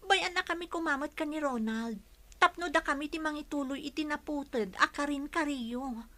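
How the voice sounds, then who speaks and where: A woman's voice: sits high, moderate pace, bright, forceful tone. An actress playing a defiant young daughter in love who challenges her mother in an Ilocano audio drama.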